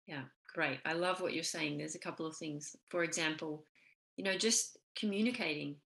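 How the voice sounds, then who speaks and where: A woman's voice: low voice, moderate pace, vocal fry. A woman hosting a conversation, affirming and encouraging her guest as she talks. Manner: warm, affirming, laughs easily. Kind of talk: casual conversation.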